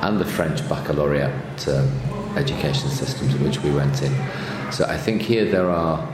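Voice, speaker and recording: A man's voice: extremely low pitch, moderate pace, a loud crowd. A man from the Manchester area, answering informal interview questions as a headmaster. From Manchester area, England. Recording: echoey reverberant room, clear background noise.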